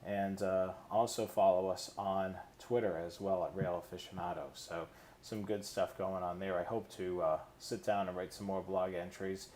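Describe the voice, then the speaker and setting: A man co-hosting a hobby live stream in a casual, informal, halting presenting style. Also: low-pitched, flat delivery, darkish tone, slight background noise.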